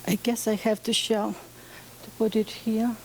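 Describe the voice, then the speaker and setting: Woman, Bulgarian accent, native Bulgarian, museum director, earnest symposium presentation, high voice. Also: flat delivery, measured pace, slight background noise, rough recording. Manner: earnest, searching for words.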